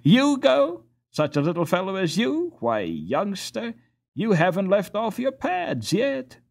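Storybook role: stout good-natured boy who teases, lively delivery, man performing. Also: sits high, a little slow, vocal fry, voice pushed up, full character voice. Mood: mocking, amused. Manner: jovial, teasing. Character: plump good-natured teasing teenage boy. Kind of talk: children's storybook character acting.

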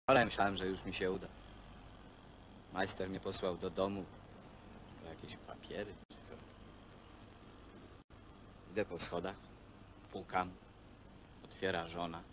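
An actor playing a lonely, bitter young hostel worker in a naturalistic film drama, speaking plainly and haltingly. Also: male voice, deep voice, little pitch movement. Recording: noisy old film soundtrack.

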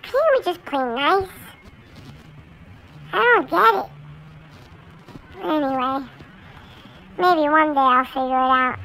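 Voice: sits high, slow, vocal fry, pauses often, sharp tone, full character voice, low-quality recording. A performer voicing a chatty six-month-old male Sheltie puppy who rambles on in an earnest, childlike way. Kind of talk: talking-pet video monologue.

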